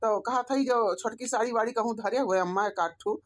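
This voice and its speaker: A woman's voice: on the high side, a little fast, natural voice. A woman with an Awadhi accent voicing a pleading, put-upon grown village son and husband in a cartoon comedy. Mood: worried. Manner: complaining, self-justifying.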